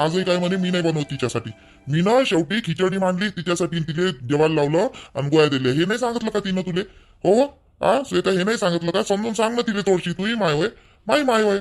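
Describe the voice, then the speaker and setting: A man's voice: a little high, lightly acted. A man voicing an exasperated husband and brother in a family cartoon, argumentative and insistent, with a Varhadi Marathi accent. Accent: Varhadi Marathi (Vidarbha). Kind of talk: animated family drama acting.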